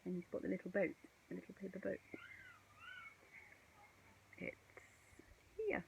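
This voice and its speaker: Very lively woman reading to children. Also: mid-range voice, a little slow, creaky, slight background noise, rough recording. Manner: expressive, sing-song, addresses child viewers. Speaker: story reader. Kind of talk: children's picture-book read-aloud with chatty asides.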